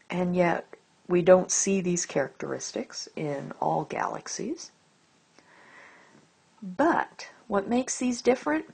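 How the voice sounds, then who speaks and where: A woman lectures at a slow, measured pace, in a fairly low voice with a creaky edge. She explains things step by step, leaning deliberately on the key words. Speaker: astronomy lecturer. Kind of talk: explanatory lecture over slides.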